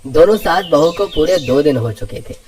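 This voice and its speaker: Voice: medium pitch, animated, normal speed. Storybook narrator, music underneath.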